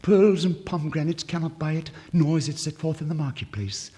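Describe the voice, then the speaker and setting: A tender female nightingale from a fairy tale, acted out by a man, pitched up and ardent. The delivery is rhapsodic and declamatory, like old storybook records. Long reverb rings around the vintage recording. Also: brisk, mellow, breathy edge. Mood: rapturous. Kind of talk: 1950s literary story record acting.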